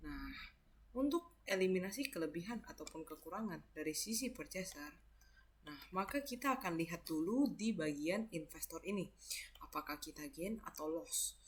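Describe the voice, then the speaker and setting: A woman's voice: lowish voice, animated, moderate pace, slightly rough. A young woman with an Indonesian accent explains step by step as a tutor, conversationally, often asking questions and answering them herself. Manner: conversational, asks and answers own questions, frequent tag words. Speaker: accounting tutor.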